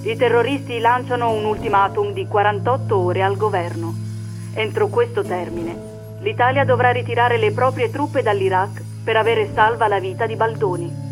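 Casting: news reader, mid pitch, scripted bulletin delivery, clear music bed behind. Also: moderate pace, poor audio.

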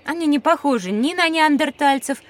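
A woman playing a bright, curious child who speaks articulately in an educational audio drama. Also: sits high, animated, fairly quick. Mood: curious, knowing. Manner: inquisitive, articulate. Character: bright curious child on a time-travel lesson.

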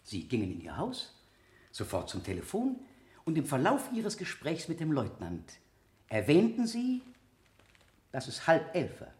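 A man's voice: a little high, wildly swooping pitch, unhurried, long pauses, darkish tone, echoey room. A man acting a relentless, scornful public prosecutor in a 1970 German radio drama, with theatrical, insistent rhetoric. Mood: probing, ironic. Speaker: actor in a radio play.

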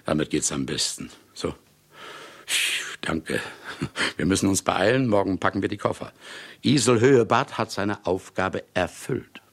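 A man's voice: low voice, measured pace, exaggerated voice. An actor in a German radio play playing a cynical, menacing man of letters who kills for material, with grandiloquent, sardonic, theatrical delivery. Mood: breezy, commanding. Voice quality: gravelly, husky. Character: cynical, menacing famous male writer who murders for material.

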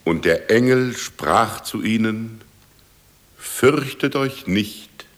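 A male actor playing a solemn gospel reciter, declaiming slowly in a radio drama. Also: fairly low pitch, lively intonation. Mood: solemn, proclaiming.

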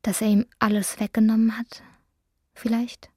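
A woman's voice: a little high, level tone, normal speed, darkish tone, no room sound, studio quality, close to an everyday voice. A woman narrating a literary radio drama, recounting an anxious man's inner thoughts. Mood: reflective, measured.

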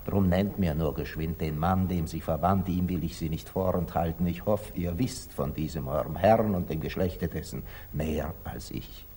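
An actor playing a grave, wise merchant father in a 1940s German radio drama, solemn and declamatory, moving between hushed and fervent delivery. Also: low voice, moderate pace, hardly any pauses, breathy edge. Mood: resigned, grave.

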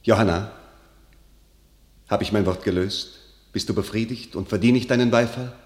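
A man's voice: on the low side, normal speed, reverberant, close to an everyday voice. An actor playing a proud, passionate nobleman and warrior in a classical verse radio drama, declaiming with fervour. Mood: softened, solemn. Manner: declamatory, fervent.

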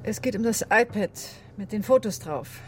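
Sardonic woman doctor, acted, medium pitch. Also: lively intonation, moderate pace, faint music behind, natural voice. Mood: wary, polite. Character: bitter, sharp-tongued woman doctor recounting her ordeal. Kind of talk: radio drama acting, confessional narration and dialogue.

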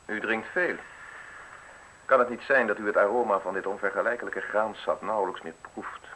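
An actor playing a suave, menacing justice official in a radio drama, speaking in measured, formal, insinuating phrases. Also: male voice, lowish voice, steady tone, sharp tone, slight background noise, poor audio. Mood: probing, accusing. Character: suave, menacing male state justice official offering a deal.

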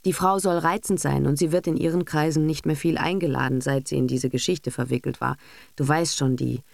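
Lady reader doing bossy cynical businessman dad for an audiobook, sardonic, dismissive, gravelly, no room sound. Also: low-pitched, fairly even intonation, a little fast, a little mellow, no echo. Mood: brisk, bossy. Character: brisk, cynical, self-assured businessman husband and father. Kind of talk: literary audiobook character acting.

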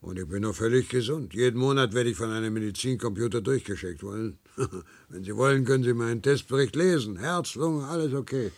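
An actor playing a dutiful, stubborn 95-year-old worker in a radio play, husky and gravelly, prone to stammering. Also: male voice, mid-range voice, brisk, a little mellow, lightly acted. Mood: calm, proud. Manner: stammers and repeats words when agitated.

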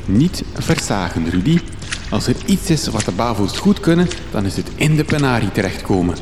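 A fussy young adventurer-reporter with a Flemish accent in a radio drama. His pitch moves around a lot, and the sound is cavernous, with clear ambient noise. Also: sing-song, normal speed. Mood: hopeful. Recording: echoey cave reverb, noisy ambience.

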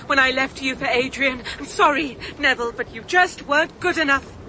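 A woman's voice: high-pitched, normal speed, slight background noise. An actress playing a wronged ex-wife who coldly mocks her former husband in a radio drama. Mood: cold, mocking. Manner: icy, mocking, cruelly calm.

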